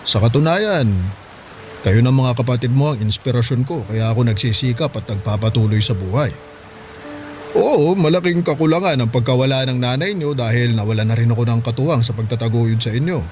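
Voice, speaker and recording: A man's voice: medium pitch, a little fast, a little mellow, faint music behind, natural voice. A man playing a hardworking middle-aged father in a Filipino radio drama, plain-spoken and reassuring, with a Filipino accent. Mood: earnest, resolute. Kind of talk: radio drama acting in Tagalog.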